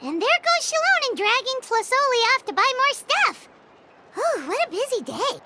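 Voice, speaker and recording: Very high, squeaky childlike fairy companion. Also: female voice, sing-song, normal speed. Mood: amazed. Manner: refers to self in third person, exclamatory. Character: small childlike floating fairy companion, chatty and eager. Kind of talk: animated game dialogue.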